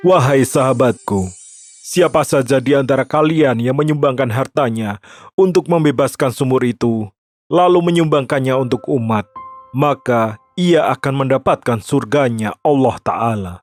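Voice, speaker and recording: Male actor as solemn cartoon sage, measured, sorrowful appeal, soft music underneath. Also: medium pitch, natural voice. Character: solemn, sorrowful revered male religious leader. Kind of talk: animated children's story acting.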